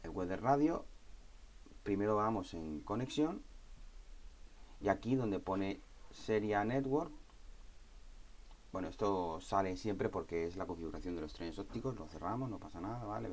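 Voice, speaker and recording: Low-voiced man explaining software setup. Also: slight background noise. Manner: explanatory, with frequent "¿vale?" and "¿no?" check-ins and self-corrections. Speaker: astronomy equipment instructor. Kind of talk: screen-recorded software tutorial.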